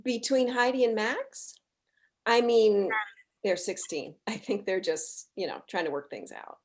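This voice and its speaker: A woman's voice: mid-range voice, a little slow, a little mellow, natural voice. A woman playing a polite but blunt mother in her forties, in a comedy script read. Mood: guarded. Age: middle-aged.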